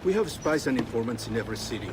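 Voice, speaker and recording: An actor playing a grave, measured village leader and assassin mentor, speaking formally in a video game cutscene. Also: male voice, medium pitch, animated, noisy background, natural voice.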